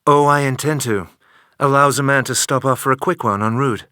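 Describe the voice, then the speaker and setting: A man plays a suave British secret agent in a video game. His mid-pitched voice stays unflappable, dry and wry. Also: a little fast, bright, forceful tone, clear, resonant tone, dry recording, close to an everyday voice. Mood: wry, cool.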